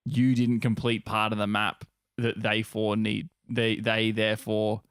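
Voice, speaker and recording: A man's voice: fairly low pitch, completely flat delivery, measured pace, fairly bright tone, smooth, dry recording, clean studio sound. A young Australian man co-hosting a podcast in casual, sweary, slangy chat. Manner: laid-back, sweary slang. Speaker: podcast co-host.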